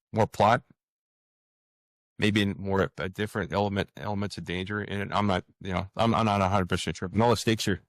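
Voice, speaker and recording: A man's voice: on the low side, a bit bright, dry recording. A man answering as an author guest in a casual interview, hesitant, hedging and repeating words as he thinks.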